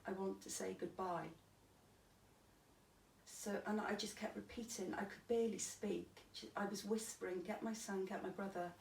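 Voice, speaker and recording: A woman with a Welsh accent and a croaky, gravelly voice, telling her own story plainly in an interview. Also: medium pitch, steady tone, normal speed. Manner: plain, matter-of-fact telling with restarts. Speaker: interviewee recounting a stabbing. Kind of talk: candid personal testimony. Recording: echoey room, distant mic, faint hiss.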